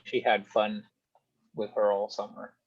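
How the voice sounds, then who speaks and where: Guy student answering questions slowly, kinda hesitant, dull lo-fi audio. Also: on the low side, level tone, a little hiss or hum. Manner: hesitant, pausing to think. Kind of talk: halting spoken answers.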